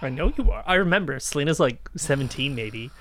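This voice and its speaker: A man's voice: mid-range voice, lively intonation, brisk, noisy background. A man with a Canadian accent telling anecdotes casually as a podcast co-host, informal and repeating himself for emphasis. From southwestern Ontario, Canada. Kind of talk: casual chat, anecdotal storytelling.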